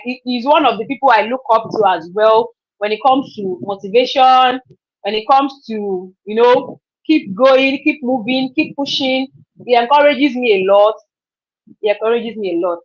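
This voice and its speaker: Chatty woman live streaming. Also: high-pitched. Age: 30s. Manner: chatty, repeats herself, laughs often. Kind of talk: casual live stream chat. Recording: dull lo-fi stream audio.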